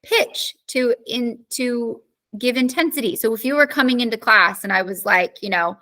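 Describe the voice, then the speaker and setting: Brief: a female teacher with a bright, crisp voice in a chatty, informal class recap. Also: on the high side. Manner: chatty, with fillers and quick asides.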